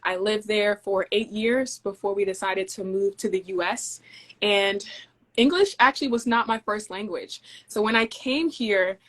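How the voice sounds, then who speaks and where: A young adult woman from Denmark, a guest in a casual interview, explaining her engineering work in a measured, friendly way. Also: medium pitch, fairly bright tone.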